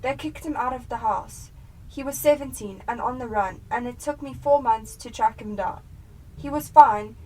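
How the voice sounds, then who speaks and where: A woman's voice: on the high side, normal speed, dark tone, lightly acted. A young South African woman playing an earnest, emotionally invested police detective in a radio drama. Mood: troubled, confiding. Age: young adult. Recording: distant mic, noisy room ambience.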